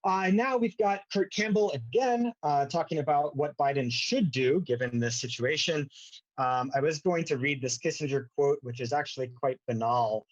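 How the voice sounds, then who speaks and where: A man giving an informal academic lecture as a university lecturer, with a wry, sarcastic bent and a halting, hesitant delivery. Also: fairly high pitch. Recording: dull lo-fi audio.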